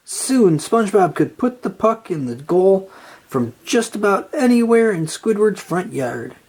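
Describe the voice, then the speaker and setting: A children's storybook reader with a very animated delivery. The narration is scripted and expressive, taken at a slow pace with a slightly husky tone. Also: medium pitch.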